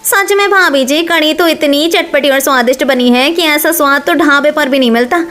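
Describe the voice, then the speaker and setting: Cheerful young village girl, extremely high voice, eager cartoon delivery played by a woman. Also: moderate pace, fairly bright tone. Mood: delighted. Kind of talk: cartoon story voice acting.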